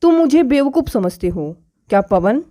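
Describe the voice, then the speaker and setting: A woman's voice: on the high side, very animated, normal speed, dark tone. A woman voicing a proud, wronged wife who challenges her husband with indignant questions in an audio story. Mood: indignant, hurt.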